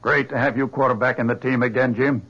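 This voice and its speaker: An actor playing a paternal, insistent admiral with concerned authority and emphatic delivery in a 1970s radio drama. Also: male voice, medium pitch, steady tone, fast talker, a bit bright, husky, soft background music. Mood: fatherly. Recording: dull vintage radio recording.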